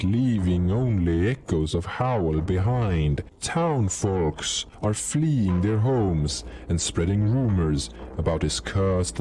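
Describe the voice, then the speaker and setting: A man reading scripted dark fantasy narration as a storyteller, in an ominous, measured manner. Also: low voice. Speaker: storyteller and game master.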